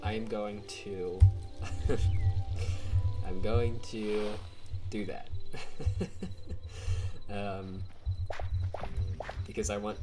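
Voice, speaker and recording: A man, sick and raspy-voiced, casually commenting on a game as he plays, rambling with many ums and likes. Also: lowish voice, steady tone, extremely slow, pauses often. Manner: rambling, hesitant, lots of um and like. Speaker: gaming YouTuber. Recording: quiet voice under loud game music. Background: loud game music.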